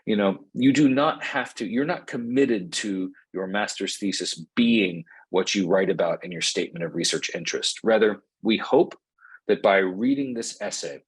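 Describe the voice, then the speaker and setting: A man with a slightly low, husky voice hosts an online information session over a video call. He talks in an easygoing, conversational way, padding his sentences with fillers as he goes. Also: moderate pace, rough recording. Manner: relaxed, conversational, many fillers. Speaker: associate dean hosting an info session. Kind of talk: webinar presentation and hosting. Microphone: computer mic on a video call.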